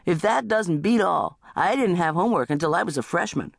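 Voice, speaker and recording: Woman putting on an indignant high-pitched teenage boy. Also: animated, fairly quick, creaky, breathy edge, no room sound, studio quality, heavily acted. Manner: whiny, accusing, exaggerated complaining. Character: indignant teenage boy, aggrieved older brother.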